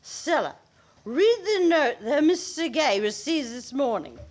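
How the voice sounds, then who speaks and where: A woman's voice: sits high, big pitch swings, a little slow, a little mellow. A woman playing an indignant, overbearing older mother-in-law in a comic radio play, declaiming and wailing theatrically. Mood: accusing.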